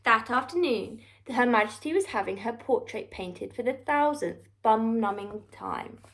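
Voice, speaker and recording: A woman storyteller reading a children's book aloud with expressive, theatrical narration and deliberate emphasis. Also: a little high.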